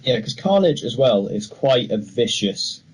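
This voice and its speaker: A man's voice: mid-range voice, very animated, a little slow, darkish tone, a little hiss or hum, rough recording. A young man co-hosting a film podcast, talking casually and giving his opinions freely. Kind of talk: casual discussion of film news.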